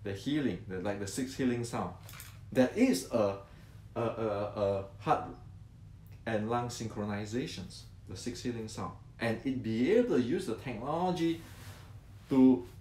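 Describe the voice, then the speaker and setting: A man's voice: medium pitch, darkish tone. Man in his 60s, martial arts teacher, restarts a lot, asks then answers himself, roomy echo. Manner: repeats words as he explains, asks and answers his own questions. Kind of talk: explanatory talk to viewers.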